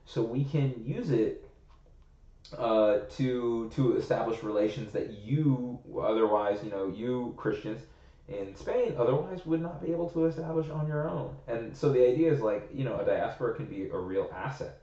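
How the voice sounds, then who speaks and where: A man's voice: mid-range voice, moderate pace, mellow. A young American man co-hosting a podcast, rambling and thinking aloud in casual chat, leaning hard on "like.". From United States. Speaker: podcast co-host. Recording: roomy, slightly muffled recording.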